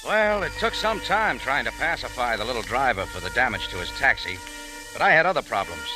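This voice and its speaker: An actor playing a tough American adventurer and narrator in a wry, terse 1940s radio style. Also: male voice, medium pitch, big pitch swings, fairly quick, loud music behind the voice, natural voice, rough recording. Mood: narrating. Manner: wry, terse, hard-boiled delivery. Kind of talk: 1940s hard-boiled radio acting.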